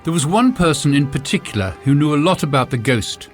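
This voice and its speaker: A man's voice: fairly high pitch, sing-song, a little fast. A man narrating a mystery audiobook in a dramatic, suspenseful first-person style. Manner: suspenseful, theatrical. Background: music score.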